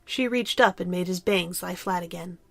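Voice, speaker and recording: A woman's voice: lowish voice, moderate pace, gravelly. A woman reading scripted story narration as the narrator of an audio drama.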